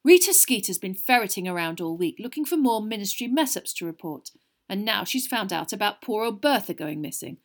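A woman's voice: mid-range voice, very animated, brisk. A woman voicing a tired, irritable middle-aged father in a storybook reading. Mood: weary. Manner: weary, grumbling.

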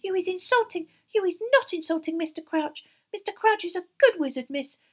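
A woman voicing a small, indignant female house elf servant for a storybook, squeaky and shrill, speaking in servile broken grammar. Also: lively intonation, a little fast. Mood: indignant, furious. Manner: wailing, broken servile grammar, third-person speech.

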